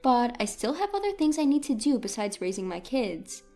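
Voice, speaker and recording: A woman's voice: on the high side, big pitch swings, moderate pace, warm tone, soft background music. A woman reading a scripted first-person story as a dramatic narrator.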